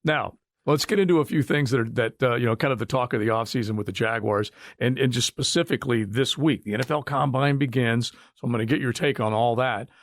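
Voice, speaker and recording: A man's voice: medium pitch, a little fast, dry recording, studio quality. A man hosting a casual sports talk show in a folksy, joking manner. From southern United States.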